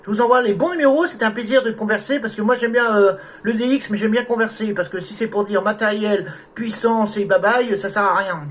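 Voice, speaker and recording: A man's voice: high-pitched, fairly quick, hardly any pauses, a bit bright, slight background noise. A man from France chatting casually as an amateur radio operator, with courteous sign-offs. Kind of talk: casual radio contact chat.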